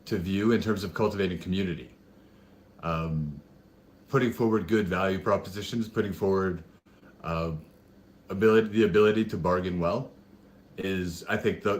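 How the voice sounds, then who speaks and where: A man with a raspy voice, speaking as a panelist on an online call, thoughtful and halting with many fillers. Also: sits low, slight background noise. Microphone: headphone mic on a video call.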